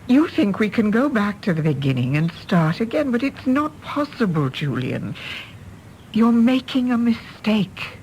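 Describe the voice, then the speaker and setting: Disillusioned tired mum, radio drama, expressive voice, faint noise in background. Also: female voice, medium pitch, unhurried, low-quality recording. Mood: sad, resigned. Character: weary, disillusioned mother, sad and resigned.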